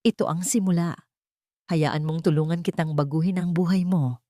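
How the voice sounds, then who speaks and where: Calm commanding Filipino gentleman, voiced by a woman. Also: on the low side, dry recording, studio quality, natural voice. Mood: reassuring. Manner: measured, softly spoken, assured. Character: calm, commanding, protective rich man. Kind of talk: dramatic audio storybook dialogue.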